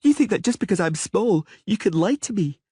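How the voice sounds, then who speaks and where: A male narrator voicing a headstrong, sniffly young princess who is petulant, demanding and quick to sulk, with a husky, whiny tone. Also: high-pitched, expressive, darkish tone, no room sound, studio quality, pitched above the performer's own voice. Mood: indignant. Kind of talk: audiobook character voice.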